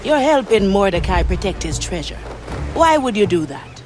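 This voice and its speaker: An actress playing a bold, inquisitive young pirate navigator with a cheeky manner in a video game. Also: female voice, medium pitch, sing-song, moderate pace, music in the background, natural voice. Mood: curious, probing. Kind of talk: video game character acting.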